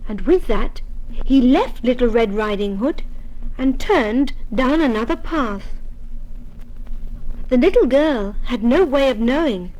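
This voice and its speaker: A woman reading a children's storybook aloud in a gentle, deliberate way. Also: on the high side, expressive, poor audio. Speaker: story reader. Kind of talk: scripted storybook narration for children.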